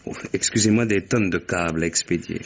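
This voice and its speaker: An actor playing a brisk, self-assured private secretary, talkative and frank, in a mid-century French radio mystery. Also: male voice, low voice.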